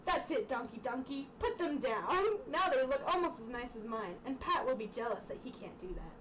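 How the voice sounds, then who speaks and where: A clever, self-assured storybook dog read by a woman dispenses advice in a fairly high voice. The recording is distant, with clear noise behind it. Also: animated, moderate pace, natural voice. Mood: smug advice. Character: clever male dog giving confident smug advice.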